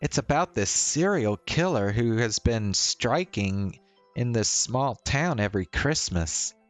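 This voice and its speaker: A man's voice: medium pitch, lively intonation, slow, dry recording, faint music behind. A man voicing a friendly, bookish young woman in a visual novel, reading her lines like an eager, teasing storyteller. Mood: enthusiastic.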